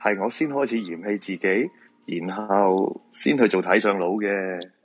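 A man's voice: low voice, a little slow. A man with a Hong Kong Cantonese accent acting a weary, persuasive feng shui master in a supernatural radio drama. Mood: wry, placating.

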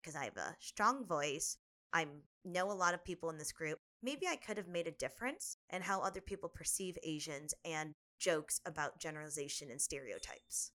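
Young female podcast co-host, slightly low pitch, bright tone, earnest reflective storyteller. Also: expressive, moderate pace. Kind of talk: casual podcast chat.

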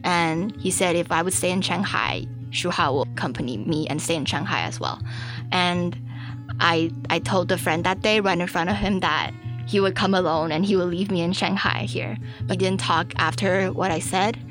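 A young woman from China speaks as an interview guest, telling personal stories in a casual way. Her voice sits a little low for a woman, and faint music plays underneath. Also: moderate pace. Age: young adult.

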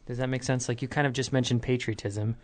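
A man hosting an interview, asking long, curious questions in a relaxed conversational way. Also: mid-range voice, fast talker, no room sound.

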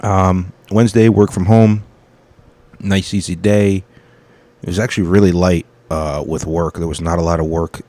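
A man's voice: low-pitched, steady tone, moderate pace, warm tone. A man in his thirties, a comedian hosting his own podcast, rambling through his week in a casual, profane, self-correcting monologue.

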